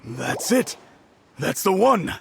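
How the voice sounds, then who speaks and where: A man voicing a wealthy, effusive young heir in a video game, long-winded and carelessly boastful, with a gravelly edge. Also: high voice, big pitch swings, slow pace, a little hiss or hum, heavily acted. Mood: elated.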